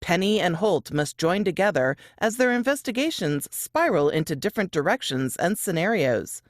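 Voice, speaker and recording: A woman reading a scripted, dramatic book blurb in a measured, expressive way. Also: fairly low pitch, no echo, clean studio sound.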